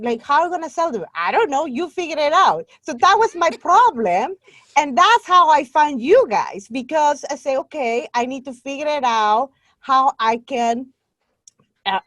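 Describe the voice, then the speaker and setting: Guatemalan woman, high voice, earnest. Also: a bit bright, dry recording. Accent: Guatemalan Spanish. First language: Spanish. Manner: earnest, talkative, laughs easily. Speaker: guest, online Spanish teacher. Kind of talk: interview storytelling.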